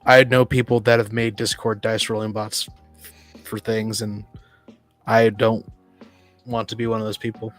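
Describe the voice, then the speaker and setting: A man's voice: fairly low pitch, flat delivery, normal speed, pauses often, soft background music. A man chatting casually as a podcast panelist, often repeating his first words as he starts a sentence. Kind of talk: casual chat.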